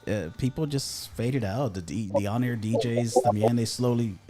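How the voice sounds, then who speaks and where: A man, chatting casually as a radio station guest, relaxed and often laughing, dropping in Spanish words. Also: medium pitch, moderate pace, soft background music. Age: 30s. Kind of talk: casual studio chat.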